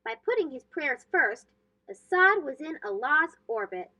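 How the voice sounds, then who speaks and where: A high-voiced woman narrates a storybook for young children. Her delivery is expressive and animated, with wide pitch movement, and the recording is slightly dull and lo-fi. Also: a little slow. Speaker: storybook reader, host.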